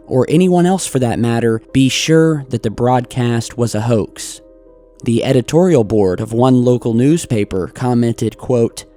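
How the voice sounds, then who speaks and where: A man hosting a video, giving earnest, deliberate scripted commentary. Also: mid-range voice, warm tone. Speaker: YouTube host. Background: music.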